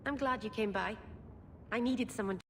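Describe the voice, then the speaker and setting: An actress playing a wistful, innocent young woman with a dreamy, halting manner in a video game. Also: fairly high pitch, slight background noise, close to an everyday voice. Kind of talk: video game cutscene acting.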